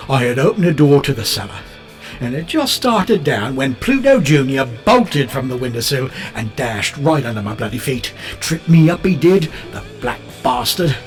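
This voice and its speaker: An actor playing a bitter, unravelling British photographer in an audio drama monologue, rambling and coarse, darkly matter-of-fact. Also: male voice, medium pitch, very animated, moderate pace, close to an everyday voice. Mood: agitated, resentful. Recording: music under the voice.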